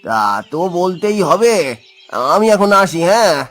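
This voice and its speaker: A man voicing a poor village farmer and father in a folk-tale cartoon, gentle and humble. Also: sits high, expressive, unhurried, faint music behind. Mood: hopeful, hesitant. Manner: affectionate, humble.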